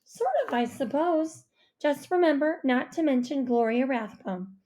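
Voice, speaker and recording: A proud, careful mother played by a woman storyteller with a fairly high voice. She explains and cautions in a firm storybook manner. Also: animated, a little slow, mellow. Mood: cautioning. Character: proud, cautioning mom, a food critic. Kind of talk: audiobook character voice.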